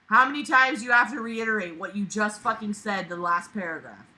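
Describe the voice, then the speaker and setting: Sharp, exasperated reader with a blunt mouth. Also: medium pitch, a little fast, poor audio. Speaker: reader reacting to a book. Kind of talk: reading aloud with sarcastic running commentary.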